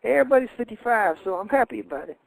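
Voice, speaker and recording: A man's voice: extremely high pitch, lively intonation, racing, gravelly, dry recording, rough recording. A man in his fifties calling in to a radio show, rambling in a meandering way with nonstandard grammar. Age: 50s. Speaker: caller. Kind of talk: rambling radio call-in chat.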